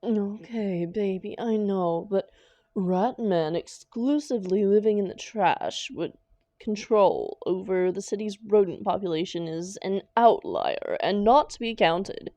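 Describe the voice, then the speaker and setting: A sardonic female supervillain acted by a woman in a comic audio drama, dramatic and hot-tempered yet devoted to her partner. Her pitch is medium and her pace unhurried. The sound is close and dry. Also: mellow, dry recording, natural voice. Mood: exasperated. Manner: sarcastic, dramatic, affectionate with partner.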